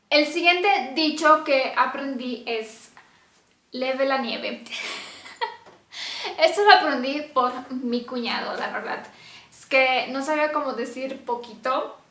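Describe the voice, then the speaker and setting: A woman's voice: high-pitched, slow, long pauses, fairly bright tone. A young woman from Romania, chatting casually to camera in Spanish and laughing often. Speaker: vlogger. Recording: echoey room.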